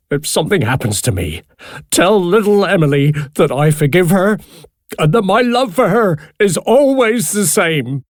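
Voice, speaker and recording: A man voicing a fiery, anguished older uncle in an audiobook novel, forceful and emotional. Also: high voice, no room sound, clean studio sound, pitched above the performer's own voice, exaggerated voice. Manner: forceful, emotional, often shouting.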